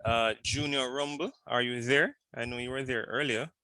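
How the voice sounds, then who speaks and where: A man lecturing on a video call, briskly calling on students by name to introduce themselves. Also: medium pitch, steady tone, bright, forceful tone, smooth. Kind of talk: online class roll call.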